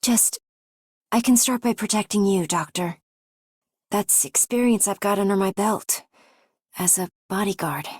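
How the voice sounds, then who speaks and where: A woman voicing a humble, dutiful young female bodyguard in a video game, husky, plainspoken and sincere. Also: medium pitch, unhurried, long pauses, a little mellow, no echo, studio quality. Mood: grateful, earnest. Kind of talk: game character voice acting.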